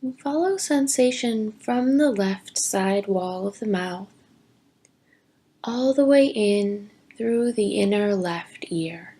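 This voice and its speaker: A woman teaching yoga nidra, giving gentle, deliberate guided meditation instructions with long pauses. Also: medium pitch, lively intonation, breathy edge. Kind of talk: slow guided meditation narration.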